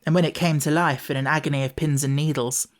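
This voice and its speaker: A woman's voice: deep voice, fairly even intonation, fast talker. A woman reading a scripted children's story as an audiobook narrator.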